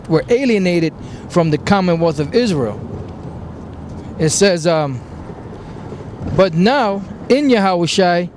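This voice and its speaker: A man preaching outdoors, slowly reading scripture verses aloud and then explaining them. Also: sits high, a little mellow, slight background noise.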